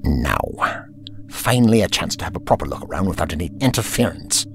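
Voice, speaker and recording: An actor playing a haughty, irritable, eccentric time traveller in an audio drama, pompous and condescending. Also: male voice, low-pitched, big pitch swings, brisk, soft background music. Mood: haughty, dismissive.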